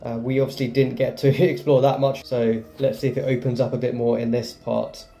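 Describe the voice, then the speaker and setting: A man's voice: mid-range voice, flat delivery, fairly quick, dark tone. A man giving casual commentary as he plays through a game's story quest. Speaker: gaming video creator playing through a story quest. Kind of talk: casual game playthrough commentary. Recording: game music behind the voice.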